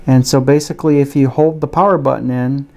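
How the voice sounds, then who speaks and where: A man's voice: mid-range voice, fairly even intonation, brisk, dark tone. A man giving a casual product demonstration, explaining things plainly and without hurry. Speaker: gadget reviewer.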